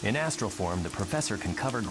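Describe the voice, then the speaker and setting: A learned, polite mutant scientist acted by a man in a motion comic. He speaks in a deep voice, with a rain-like hiss clearly behind him. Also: lively intonation, quick pace, lightly acted. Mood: courteous.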